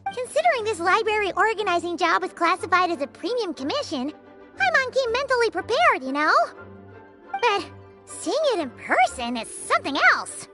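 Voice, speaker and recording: A woman voicing a small, excitable childlike fairy companion in a video game, with a squeaky, exclaiming delivery. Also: normal speed, music in the background. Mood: overwhelmed. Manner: exclaims, speaks of self in third person.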